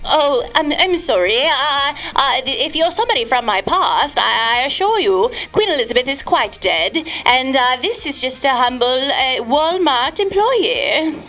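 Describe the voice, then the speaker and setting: A woman's voice: high-pitched, very animated, a little slow, hardly any pauses, sharp tone, faint music behind. A woman on a phone call, answering hesitantly in a mock-formal way and playing along. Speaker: prank call recipient.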